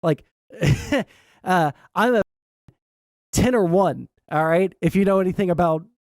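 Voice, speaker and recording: A young man with a high tenor voice, giving blunt, sarcastic reaction commentary and swearing freely. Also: measured pace, darkish tone, no room sound, studio quality. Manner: blunt, sarcastic, swears often, many "all right?" tags. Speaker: commentary streamer.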